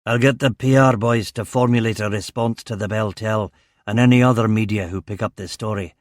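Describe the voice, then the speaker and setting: Man playing a gruff, gravelly Glaswegian police chief. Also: fairly low pitch, steady tone, brisk, dry recording, clean studio sound, lightly acted. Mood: gruff, protective. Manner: blunt, authoritative. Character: burly, angry older police chief superintendent, commanding but protective. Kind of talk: audiobook character dialogue.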